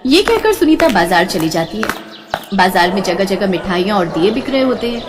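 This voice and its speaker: Expressive woman narrating a storybook. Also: mid-range voice, fairly quick, background noise. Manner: expressive, melodic. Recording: reverberant with soft background music.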